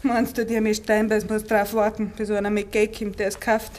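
A woman's voice: mid-range voice, flat delivery, moderate pace, a little mellow, natural voice. Determined young Bavarian country woman played by an actress. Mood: insistent. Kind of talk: 1950s German dialect radio play acting. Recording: 1950s radio recording with faint hiss.

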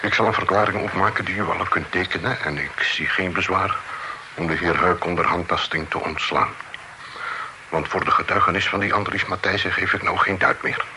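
An actor playing a courteous, authoritative magistrate in a radio drama, speaking formally and decisively. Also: male voice, deep voice, steady tone, brisk, pushed and bright, full character voice, low-quality recording. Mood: brisk, decisive. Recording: radio recording with faint noise.

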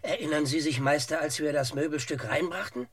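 A man playing an eager, wry detective's assistant in a radio crime parody, with a husky voice and clipped phrasing. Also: fairly high pitch, fairly quick, fairly bright tone, slight background noise. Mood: puzzled, eager.

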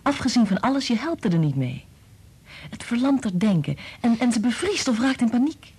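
A kind, protective scientist mother in a radio drama. Her voice is husky and very melodic, rising and falling widely as she patiently explains. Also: on the high side, big pitch swings, heavily acted. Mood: firm, protective. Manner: gentle, measured explaining. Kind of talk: Dutch radio play acting.

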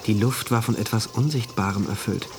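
A man's voice: lowish voice, fairly quick, a little hiss or hum, lightly acted. A man acting an anxious, introspective narrator in a German dystopian radio drama, telling it in brooding short phrases. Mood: uneasy, observant. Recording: loud music behind the voice.

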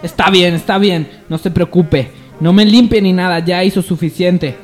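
A man's voice: high-pitched, moderate pace, soft background music, natural voice. A man playing a petulant, melodramatic rich young heir in a parody radio soap. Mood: irritated.

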